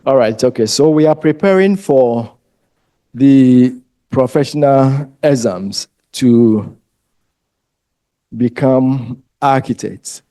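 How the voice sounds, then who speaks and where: A man with a Ghanaian English accent gives a relaxed lecture to a room of candidates. His voice is mellow and his pace slow. He talks conversationally and often pauses with ums. The recording is dry and close. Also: mid-range voice, clean tone, no echo. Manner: unhurried, conversational, trails off with ums.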